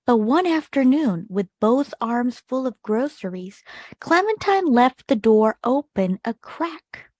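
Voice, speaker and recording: Higher-voiced woman reading a children's storybook. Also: very animated, slow pace, a little mellow, no echo, studio quality. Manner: storytelling, emphatic key words. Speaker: children's read-aloud host. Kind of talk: expressive picture-book reading with short asides to young listeners.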